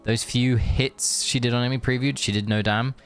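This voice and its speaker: A man's voice: mid-range voice, fairly even intonation, a little fast, clean tone, faint music behind. A man chatting casually on a game live stream, rambling and answering viewers as he plays. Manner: rambling, chatty, reads chat aloud.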